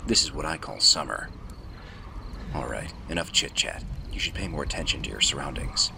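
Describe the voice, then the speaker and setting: A man playing a gruff, terse veteran soldier in a radio drama, with a gravelly voice and a curt, deadpan delivery. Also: rumbling low, normal speed, noisy background, exaggerated voice. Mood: dry, stern.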